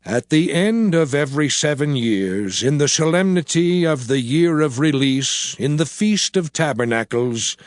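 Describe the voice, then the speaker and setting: An actor playing an aged prophet and leader, solemn and exhorting, in a grave dramatized scripture reading. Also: male voice, on the high side, lively intonation, dry recording. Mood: solemn commanding. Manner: grave, deliberate, declamatory. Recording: background music under the voice.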